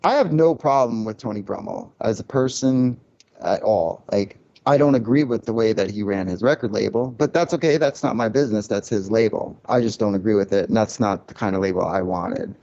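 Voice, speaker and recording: Man, record label founder, low voice, muffled sound, candid casual interview talk, lots of restarts. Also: brisk, a little mellow. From Cuban family, grew up in a loud-talking city. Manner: candid, reflective, many restarts and "like" fillers.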